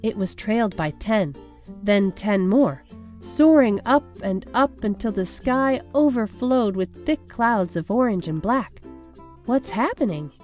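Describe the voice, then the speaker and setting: Female storybook reader, mid-range voice, expressive children's read-aloud with light music underneath. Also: medium pitch, a little slow. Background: background music.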